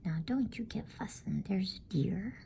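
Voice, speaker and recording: Woman whispering as a motherly, unhurried beaver housewife. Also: mid-range voice, animated, creaky, warm tone, voice pushed up. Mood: reassuring. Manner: coolly practical, homely. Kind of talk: whispered bedtime storybook reading.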